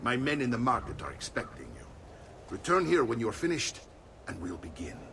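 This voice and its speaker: A man's voice: mid-range voice, huge pitch swings, fairly quick, vocal fry, a bit bright, far from the mic, slight background noise, heavily acted. An actor playing a hearty, avuncular Italian uncle and swordsman mentor in a video game, with an Italian accent and theatrical delivery. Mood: genial, conversational. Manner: avuncular, theatrical, peppers speech with Italian words. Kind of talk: video game cutscene acting.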